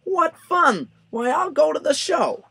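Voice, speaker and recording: A man reading a storybook aloud, voicing a lazy, selfish female bird in a shrill, squawking voice. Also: expressive, slow pace, creaky, sharp tone, heavily acted. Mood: gleeful.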